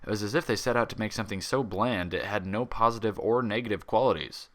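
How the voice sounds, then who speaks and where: A man narrating a fan fiction story as an expressive reader. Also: fairly low pitch, fast talker.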